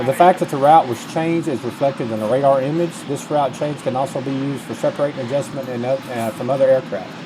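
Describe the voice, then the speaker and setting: A middle-aged man gives casual gameplay commentary, sometimes reading instructions out loud. His voice sits at an ordinary pitch. There is clear background noise on the recording. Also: brisk, few pauses. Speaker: gaming video host. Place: indoors at a computer, webcam.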